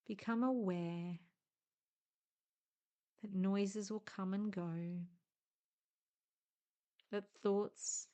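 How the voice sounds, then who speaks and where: A woman leading a guided meditation in a gentle, hushed, soothing way, speaking in short deliberate phrases with long pauses. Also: medium pitch, steady tone, clear, resonant tone.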